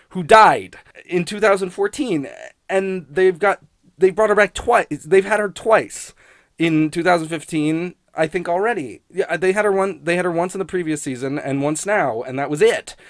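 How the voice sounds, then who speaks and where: A man's voice: on the high side, no room sound, clean studio sound. A man giving a casual, rambling spoken review in a blunt, sweary, grumbling manner. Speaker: host of a TV criticism show.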